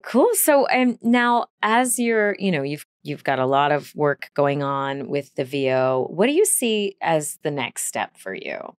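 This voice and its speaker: A woman's voice: low voice, sing-song, a little slow, hardly any pauses, no room sound, studio quality. A woman hosting a casual interview, reacting eagerly and supportively to her guest. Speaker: interview host.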